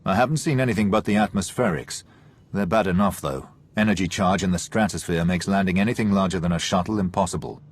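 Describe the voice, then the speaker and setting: An actor playing a weary, gruff military pilot in a video game, clipped and plain-spoken, with a husky voice. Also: male voice, sits low, quick pace. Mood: wry, businesslike. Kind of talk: video game cutscene acting.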